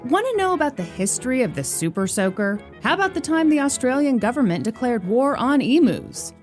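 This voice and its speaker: A woman's voice: high voice, sing-song, brisk, faint music behind. A woman hosting a podcast, reading a scripted promotional spot.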